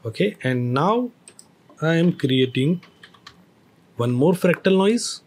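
A man teaching a screen-recorded software tutorial, explaining each step with hesitant phrasing and fillers. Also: on the high side, expressive, slow.

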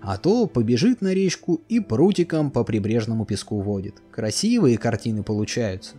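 A man telling a fairy tale as a storyteller, reading it aloud with lively expression. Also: mid-range voice, normal speed. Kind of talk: storybook narration. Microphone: voice-over. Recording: soft music behind.

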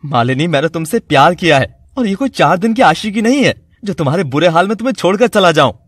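A man's voice: high voice, lively intonation, fast, no echo, clean studio sound. A man playing a devoted, worried young college student in a cartoon story, earnest and reassuring.

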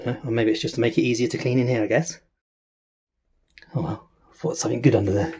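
A man's voice: medium pitch, fairly even intonation, fast talker, a little mellow, husky. A man gives a curious, chatty walkaround of an old van in a workshop, thinking aloud as he goes. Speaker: vlogger restoring a classic van. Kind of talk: casual walkaround commentary.

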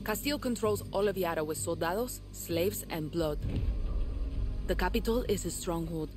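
An actress playing a tough woman rebel leader who briefs her fighters in a brisk, commanding way. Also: fairly low pitch, music in the background, natural voice. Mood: determined. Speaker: guerrilla leader. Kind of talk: video game cutscene acting. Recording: game cutscene with loud score.

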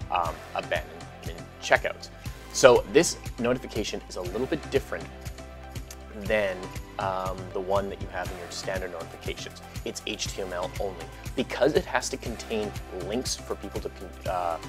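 A man in his thirties with a lowish voice walks through a tutorial in a relaxed, conversational way, pausing on ums and uhs. He speaks close to a lapel mic in a small home studio while soft music plays faintly underneath. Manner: conversational, explains step by step with frequent fillers. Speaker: online course instructor. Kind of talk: casual tutorial explanation.

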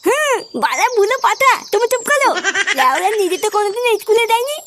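Very shrill bratty little cartoon boy. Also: big pitch swings, fast, a little hiss or hum, heavily acted. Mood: defiant. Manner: whiny, defiant, insolent. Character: bratty, foul-mouthed, stubborn little schoolboy. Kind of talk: crude comedy cartoon dialogue. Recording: loud music behind the voice.

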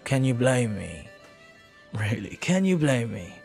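A man playing a flirty, silver-tongued pirate in a romantic audio roleplay, tender and teasing, sometimes dropping to a breathy murmur. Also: medium pitch, big pitch swings, takes it slowly, a little mellow, faint music behind, heavily acted. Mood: fond, teasing. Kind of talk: romantic audio roleplay acting, intimate second-person monologue.

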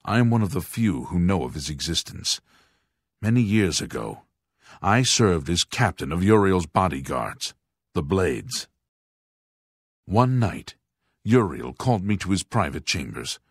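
A man playing a grave aging monk and former guard captain in a fantasy video game, speaking formally and deliberately with a husky voice. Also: low-pitched, big pitch swings, no echo, studio quality, heavily acted. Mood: solemn. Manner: formal, solemn, deliberate enunciation.

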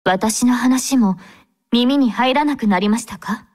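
Anime game role: young female android squad captain, devout, courteous, composed, lightly high-pitched. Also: measured pace. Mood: tender, wistful. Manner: formal, gentle, composed. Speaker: game character voice actor.

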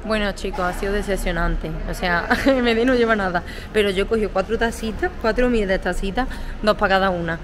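A woman's voice: mid-range voice, fairly quick. A woman vlogger chatting casually and informally while filming on her phone in a large store. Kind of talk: casual vlog chat. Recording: crowd noise behind.

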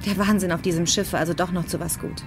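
A woman's voice: fairly low pitch, fairly even intonation, fast, music in the background, natural voice. An actress playing a defiant, candid young woman in a crime audio drama. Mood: confiding. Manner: candid, headstrong.

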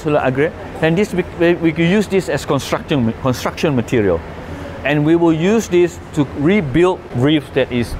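A man's voice: medium pitch, background noise. A middle-aged man from Malaysia giving a conservation talk and answering questions, explaining in a hesitant way with frequent restarts. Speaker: conservation speaker. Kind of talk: presentation with audience Q&A.

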